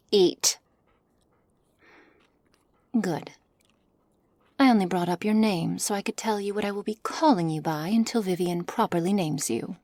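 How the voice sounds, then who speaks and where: A woman playing a haughty, condescending witch in an ASMR roleplay, speaking softly in measured, formal phrases with a commanding manner. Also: lowish voice, dry recording. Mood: condescending, cool. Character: cold, haughty witch, imperious captor of a werewolf. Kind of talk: ASMR roleplay, scripted character acting. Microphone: close studio mic, dry.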